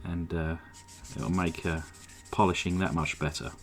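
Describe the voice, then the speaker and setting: A man with a slightly croaky throat narrating his model car restoration at a home workbench, in a relaxed, chatty way full of "uh" fillers. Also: deep voice, unhurried, soft background music. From England. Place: home workshop. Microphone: desk microphone.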